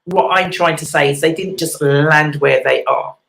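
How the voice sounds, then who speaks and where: A woman's voice: sits low, moderate pace, creaky, fairly bright tone. A woman hosting a casual chat show, chatty and prone to repeating her words. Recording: echoey room.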